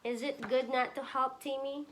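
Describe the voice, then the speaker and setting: A woman pre-K teacher reading a storybook aloud to a child in a gentle manner and pausing to ask him questions. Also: high voice, level tone, background noise.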